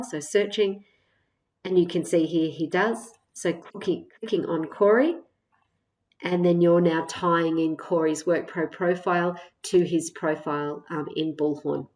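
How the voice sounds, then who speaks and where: A woman with a lowish voice narrates a software walkthrough. She explains each step in a measured, semi-scripted way, often pausing on small hesitations as she goes. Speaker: software tutorial presenter.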